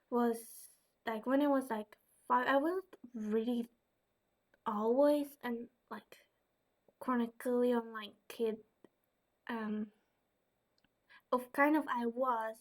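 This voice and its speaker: A young woman from the Netherlands with a Dutch accent, answering viewer questions in a casual vlog, hesitant and full of fillers. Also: fairly high pitch. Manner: hesitant, many fillers and soft asides.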